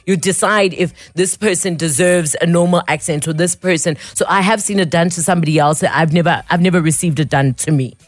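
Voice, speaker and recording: A woman's voice: lowish voice, a little fast, hardly any pauses, no echo. Chatty South African woman radio host. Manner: chatty, laughs easily. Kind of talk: casual talk radio chat. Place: radio studio.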